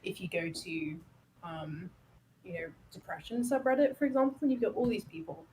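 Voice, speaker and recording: A woman with a slightly low voice talks casually as a game commentator. She reasons aloud, thoughtful and tentative, with noticeable noise behind her. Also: moderate pace, darkish tone, low-quality recording. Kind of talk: casual discussion while playing. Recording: clear background noise over voice.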